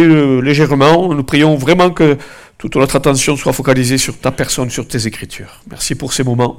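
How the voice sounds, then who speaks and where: A man with a head cold giving a relaxed, conversational sermon to his congregation, with many fillers and asides, also leading a prayer. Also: a little high. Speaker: preacher. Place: church gathering, also streamed online.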